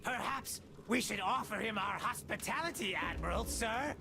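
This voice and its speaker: A man's voice: high-pitched, animated, moderate pace, vocal fry, over music. An actor playing a fawning henchman who sucks up to his boss. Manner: sycophantic, wheedling.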